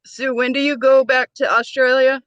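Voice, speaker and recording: High bright-voiced woman, playful, asking her kid's questions, dry close sound. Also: little pitch movement, normal speed, clear, resonant tone, dry recording, lo-fi sound. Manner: playful, relays questions from her son, laughs easily. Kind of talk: casual chat.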